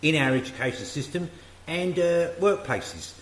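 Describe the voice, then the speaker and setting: Casting: male actor as dutiful welfare minister, formal but halting, slightly high voice, radio drama in echoey chamber. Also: expressive, lightly acted. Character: dutiful hesitant welfare minister of a dystopian regime. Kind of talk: radio play acting. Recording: echoey, faint noise.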